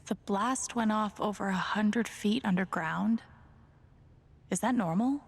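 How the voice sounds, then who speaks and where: Game role: determined young woman sleuth, husky breathy voice, hushed and wry, naturalistic. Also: medium pitch, measured pace. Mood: uneasy, intent. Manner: hushed, wry, thinking aloud. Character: wary, determined young woman investigating a cover-up. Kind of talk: naturalistic video game acting.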